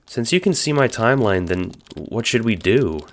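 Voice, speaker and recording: A man acting in an audio drama as a casual, wry young hero and chatty narrator, with an expressive delivery. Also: lowish voice, moderate pace, background noise. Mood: uneasy, joking.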